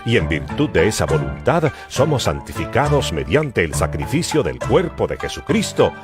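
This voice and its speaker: A man's voice: low voice, huge pitch swings, moderate pace. A man giving a solemn, emphatic dramatized narration of scripture as a Bible narrator. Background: music.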